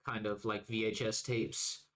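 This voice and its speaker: A man's voice: fairly low pitch, little pitch movement, a little slow, a bit bright. A man co-hosting a podcast, chatting in a laid-back, reflective, conversational way. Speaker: podcast co-host. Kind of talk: casual podcast discussion.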